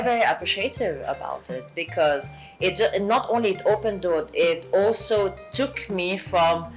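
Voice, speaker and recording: A woman from England with a Southwest English accent, a guest actress speaking earnestly in a casual chat, often halting and restarting. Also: on the low side, poor audio.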